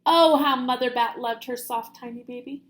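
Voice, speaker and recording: A woman with a high voice reads aloud as a primary-style teacher would. Her delivery is expressive and dramatic, shaped for children following a storybook. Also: normal speed. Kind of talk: children's picture book read-aloud.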